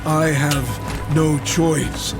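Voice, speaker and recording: Grave fantasy elder, slow and resolute, a bit high for a man, music behind. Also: fairly even intonation, gravelly, exaggerated voice. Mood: solemn, resolute. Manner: measured, grave. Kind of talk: video game cutscene acting.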